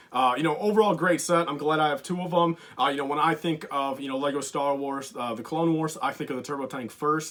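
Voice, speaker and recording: A young man talks fast through a casual countdown, his voice sitting a bit high and starting to go hoarse. He rambles and fills gaps with "uh" and "you know", eager about every item. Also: fairly bright tone. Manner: rambling, lots of fillers, enthusiastic. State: losing his voice. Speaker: LEGO collector YouTuber.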